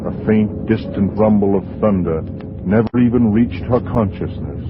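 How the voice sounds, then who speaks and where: An actor playing a grave narrator, delivering solemn, tense 1940s radio suspense narration. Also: male voice, lowish voice, moderate pace, close to an everyday voice. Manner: dramatic, solemn, building tension. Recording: muffled 1940s radio with loud music.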